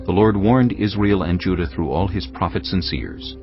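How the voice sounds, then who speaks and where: A man narrating dramatised scripture from a script in a grave, measured, dramatic manner. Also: sits low. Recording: dull audio, music underneath.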